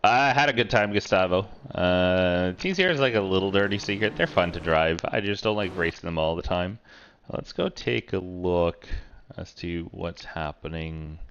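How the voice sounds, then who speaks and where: Deep-voiced man musing over a replay. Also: smooth, dull audio. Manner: relaxed, halting, thinks aloud with many fillers. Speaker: sim racing streamer. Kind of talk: casual replay commentary.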